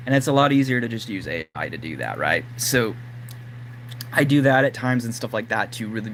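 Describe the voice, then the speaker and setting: A man whose voice is going hoarse, talking casually and rambling to his live-stream audience with plenty of fillers. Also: mid-range voice, fairly bright tone, slight background noise. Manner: rambling, lots of fillers like "like" and "you know". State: losing his voice, throat-clearing. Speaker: livestream host.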